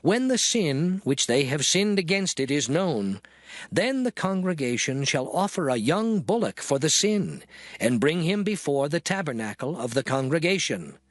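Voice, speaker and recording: An actor playing a solemn lawgiving voice in a dramatized Bible reading, declaiming scripture. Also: male voice, on the high side, big pitch swings, moderate pace, dry recording. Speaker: scripture reader. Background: music under the voice.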